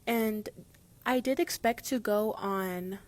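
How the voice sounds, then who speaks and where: A teenage female high school student giving an informal interview answer. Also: slow pace. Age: teens.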